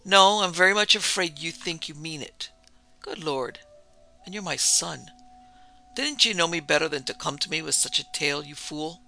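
A man's voice: fairly high pitch, normal speed, creaky, pushed and bright, soft background music, full character voice. A man voicing a domineering older baronet father in a storybook, blustering, scornful and condescending. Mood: indignant.

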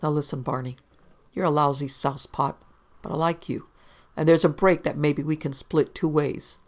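A man's voice: a little high, fairly even intonation, normal speed, close to an everyday voice. A man voicing a hard-boiled, impatient private investigator with curt, snapping demands in a pulp detective audiobook. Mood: exasperated, insistent.

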